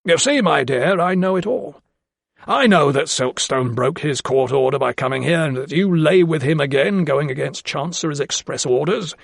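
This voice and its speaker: A man's voice: fairly high pitch, expressive, moderate pace, gravelly, no echo, clean studio sound. A man reading an audiobook, voicing a pompous, scheming older lawyer who speaks with patronising menace. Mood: smug. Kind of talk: audiobook character voice.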